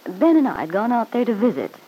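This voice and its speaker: A sly, spiteful radio-serial mother-in-law, expressively animated. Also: on the high side, quick pace, muffled recording. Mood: insinuating, confiding. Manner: insinuating, self-justifying. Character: sly, spiteful middle-aged mother-in-law, self-protective and indignant. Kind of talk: old-time radio serial acting. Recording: noisy old radio broadcast recording.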